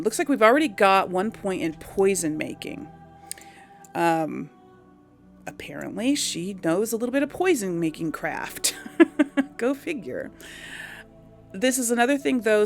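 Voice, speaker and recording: A woman's voice: mid-range voice, vocal fry. A woman giving casual, unscripted video game commentary, thinking aloud and explaining things to viewers in a chatty way. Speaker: video game let's-play host.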